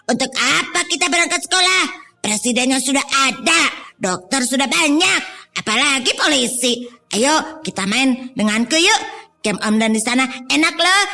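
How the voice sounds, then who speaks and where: Cheeky lazy little troublemaker kid, high n scratchy creaky voice, kids story. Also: female voice, bright, forceful tone, heavily acted. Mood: teasing, coaxing. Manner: cheeky, coaxing, childish slangy talk. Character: lazy mischievous child who teases friends and skips school.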